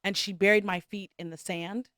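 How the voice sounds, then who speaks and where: Woman, 50s, casual co-host, quick laugh, dry close recording. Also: medium pitch, steady tone, fairly bright tone, no room sound. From California. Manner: laughs easily, probing questions. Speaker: podcast co-host. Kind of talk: casual chat.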